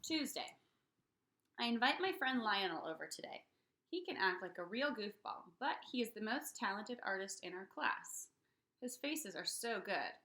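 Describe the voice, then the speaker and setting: A woman reading a children's picture book aloud in an expressive, dramatic way for young listeners. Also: medium pitch, normal speed. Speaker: read-aloud storyteller.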